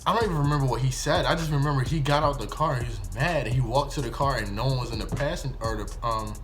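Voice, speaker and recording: A man's voice: mid-range voice, quick pace, over music. A young American man telling a story casually to viewers, rambling and slangy, often correcting himself. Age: young adult. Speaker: video storyteller.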